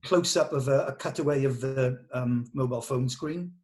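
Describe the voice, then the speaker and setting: A man's voice: medium pitch, moderate pace, darkish tone. A man with a middling voice works through a script in an informal discussion. He thinks aloud and gives short, direct instructions about what to change. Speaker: script reader giving notes at a table read.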